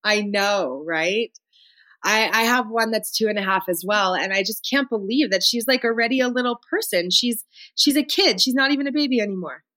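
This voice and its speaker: A woman's voice: medium pitch, moderate pace, bright, forceful tone. Chatty woman co-host. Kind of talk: casual podcast chat.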